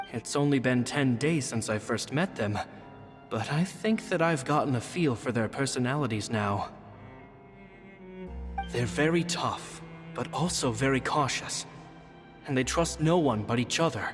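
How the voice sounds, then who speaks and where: Man acting reflective kindly magician, mid-pitched, earnest self-doubting diary voice-over, video game, long reverb, soft music. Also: lively intonation, a little slow, pauses often, close to an everyday voice. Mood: musing. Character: reflective, worried, kindly adult magician writing in journal.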